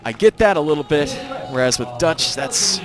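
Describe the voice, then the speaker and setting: A man's voice: a little high, lively intonation, normal speed, music in the background. A man from the United States calling a baseball game live, reading out the lineups carefully and apologizing for his pronunciation of the names. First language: English. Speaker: play-by-play commentator. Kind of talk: live sports commentary. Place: outdoor ballpark broadcast.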